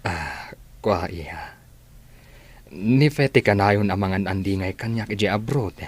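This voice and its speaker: An actor playing a gentle middle-aged father who soothes his daughter, in a radio drama. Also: on the low side, measured pace, no room sound. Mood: reassuring.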